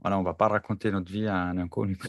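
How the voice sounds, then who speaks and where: Male host, native German speaker, low-pitched, dry close recording. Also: flat delivery, fast, no room sound. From German-speaking region. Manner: attentive, frequent backchannel agreement. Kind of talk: conversation about mediation.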